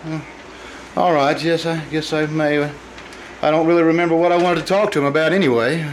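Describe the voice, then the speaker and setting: A man's voice: on the high side, steady tone, lightly acted. Man acting a hesitant, befuddled husband, lightish voice. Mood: dazed, evasive. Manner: hesitant, halting, stammering. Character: confused, forgetful, mild-mannered ailing husband. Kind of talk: old-time radio drama acting. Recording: noisy live stage performance recording.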